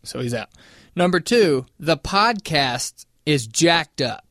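A man hosting a morning radio show, wry and teasing, running through announcements briskly. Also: on the high side, lively intonation, fairly bright tone, no room sound, clean studio sound. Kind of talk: casual morning radio banter.

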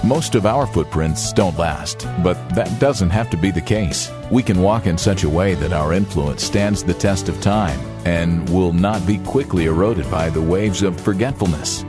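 A man reading a scripted promotional voice-over in a measured, polished delivery. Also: deep voice. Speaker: announcer. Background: guitar music.

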